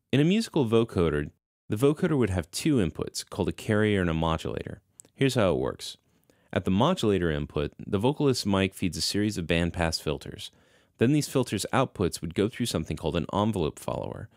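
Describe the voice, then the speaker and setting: Very low-voiced man narrating a tutorial. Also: no room sound, studio quality. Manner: easygoing, explanatory, folksy asides. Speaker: instructor narrating a tutorial video. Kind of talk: scripted tutorial narration with casual conversational asides.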